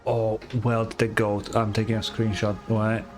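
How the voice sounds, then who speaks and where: A man's voice: fairly low pitch, monotone, measured pace. A man giving casual, blunt, sweary live commentary as he plays a video game, with music faintly behind. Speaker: streamer reacting to a game.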